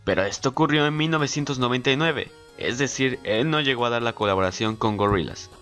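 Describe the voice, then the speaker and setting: A man's voice: mid-range voice, fairly quick, fairly bright tone. A man with a mid-range voice co-narrates a trivia video. He reads scripted facts as a guest, with faint music behind him.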